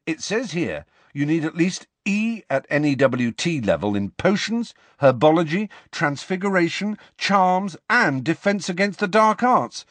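Storybook part: blunt teenage schoolboy, raised pitch, wry and grumbling, performed by a man. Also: sing-song, measured pace, few pauses, fairly bright tone, dry recording. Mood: wry dismay.

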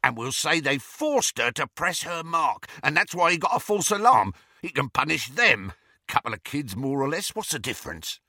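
A bellowing, brutish wizard villain from a storybook. He is hot-tempered and cowardly, with rough, uneducated grammar. His voice is pushed high, with a bright, forceful edge and big swings in pitch. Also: sing-song, moderate pace, dry recording, clean studio sound, full character voice. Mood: crafty.

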